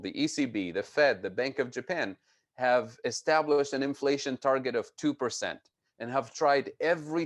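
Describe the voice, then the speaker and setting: A man from Tunisia giving an explanatory economics presentation, speaking deliberately and emphatically and repeating phrases for stress. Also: mid-range voice. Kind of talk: explanatory lecture with slides.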